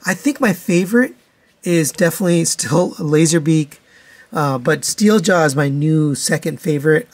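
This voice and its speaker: A man with a slightly high voice gives casual review commentary. He works through things methodically, in a matter-of-fact, demonstrating way, as a toy reviewer would.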